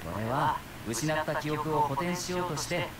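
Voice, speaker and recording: A man's voice: on the high side, fast, recorded from a distance, over a noisy crowd, lightly acted. An actor playing a dutiful young male Japanese police officer in a visual novel, exasperated but patiently questioning. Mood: weary, firm.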